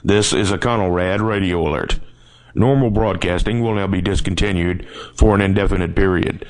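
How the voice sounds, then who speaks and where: A man's voice: low voice, moderate pace. A man reading a scripted emergency alert in a formal, official mid-century radio announcer style.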